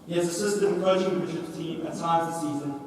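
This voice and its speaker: A man acting as master of ceremonies, reading a formal introduction of the evening's speaker in a measured way. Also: on the high side, steady tone, darkish tone, slight background noise. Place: large echoey dining hall. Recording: echoey hall, speaker far from mic.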